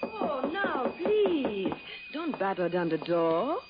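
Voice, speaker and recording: An American actress playing a poised, sweetly patronising young wife in a 1960s radio drama. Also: female voice, high-pitched, huge pitch swings, takes it slowly, heavy background noise, heavily acted. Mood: amused, teasing. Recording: muffled old radio broadcast recording.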